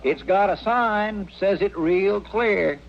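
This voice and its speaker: Homespun frontier helper, rural American drawl, stammering, easily indignant, higher voice, muffled old radio drama. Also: male voice, takes it slowly, vocal fry, slight background noise, full character voice. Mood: riled, defiant. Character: simple, easily riled deputy stand-in, loyal and folksy. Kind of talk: old-time radio western acting.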